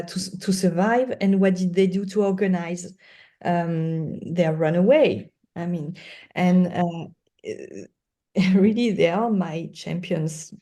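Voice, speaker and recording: Slow, mellow-voiced woman, non-native English speaker. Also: on the low side. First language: not English. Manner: halting, earnest, emphatic repetition. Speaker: guest lawyer. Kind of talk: conversational podcast interview.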